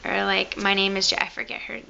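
A woman's voice: medium pitch, level tone, brisk, creaky, sharp tone, lo-fi sound, slight background noise. A young woman with a Michigan accent, rambling and joking about herself as she talks to the camera in her bedroom. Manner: chatty, self-mocking, rambling asides. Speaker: beauty vlogger. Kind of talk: casual chat to camera. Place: bedroom at home, indoors. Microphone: camera close to the face.

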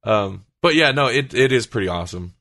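A man's voice: mid-range voice, animated, a little fast, sharp tone. A man co-hosting a podcast in casual chat, reacting emphatically and repeating himself for emphasis. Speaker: podcast co-host.

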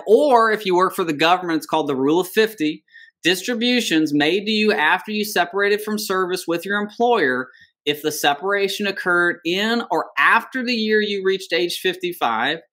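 A man in his thirties, a financial advisor, walking viewers through a retirement plan in a relaxed, conversational way, talking with his hands from a home office. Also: a little high. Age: 30s. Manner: conversational, animated with hand gestures. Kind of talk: explaining retirement planning on screen share.

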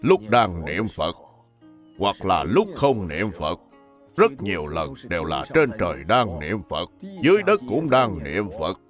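A man playing a calm, kindly but firm elderly monk master in an animated Buddhist drama, speaking slowly and deliberately. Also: sits low, faint music behind. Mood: serene.

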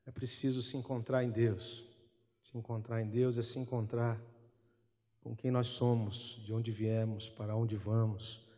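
A man's voice: medium pitch, dark tone. Wry Brazilian man chatting on a church stage. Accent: Brazilian Portuguese. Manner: chatty and wry, tags lines with a questioning "né?". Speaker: gospel singer and guitarist. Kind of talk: relaxed spoken interlude between songs at a church concert. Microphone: stand mic on stage. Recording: long hall reverb with music behind.

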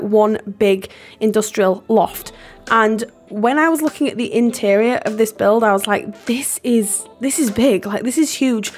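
A hoarse woman, losing her voice, chatting in a rambling, apologetic way over her building video and laughing often. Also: a little high. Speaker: game builder and video host. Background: soft background music.

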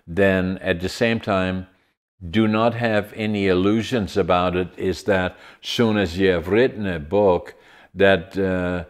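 Very deep-voiced man in his 80s, Dutch accent, a host who speaks haltingly on a video call. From Netherlands. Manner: halting, with many fillers and restarts. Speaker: podcast host. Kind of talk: casual interview chat. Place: home room with large window.

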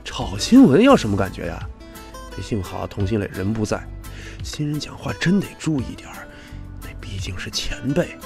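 A man's voice: fairly low pitch, very animated, moderate pace, vocal fry, darkish tone, soft background music. An actor in a Chinese audio drama playing a chatty, fawning TV director, deferential and gossipy as he wheedles his bosses. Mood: complaining, wheedling.

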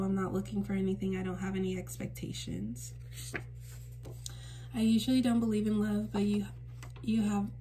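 A woman with a temporarily raspy voice giving a casual spiritual card reading to camera at home, gentle and reassuring with frequent fillers. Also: medium pitch, a little hiss or hum. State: raspy voice for a few days. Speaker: tarot reader and channel host. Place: indoors at a table in a home room.

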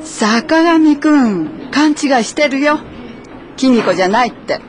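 A woman's voice: high voice, animated, unhurried. A woman playing a frank, teasing former classmate in a naturalistic radio drama.

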